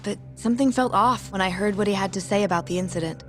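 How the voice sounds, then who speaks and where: Actress as a careful young nurse, earnest n guarded, formal wording, game cutscene w/ faint music. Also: female voice, mid-range voice, quick pace, lightly acted. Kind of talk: English-dubbed video game drama acting.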